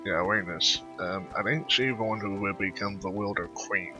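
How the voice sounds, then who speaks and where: A man giving casual gameplay commentary and reading dialogue aloud, halting and stumbling over his words. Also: low-pitched, over music. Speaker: gamer playing through a quest.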